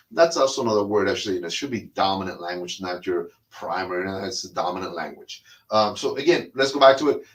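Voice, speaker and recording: Chatty Cuban-born man, rather low voice. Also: a bit bright. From Cuba. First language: Spanish. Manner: rambling and chatty, with many fillers and restarts; smoking a cigar while talking. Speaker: cigar podcast host. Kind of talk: casual monologue.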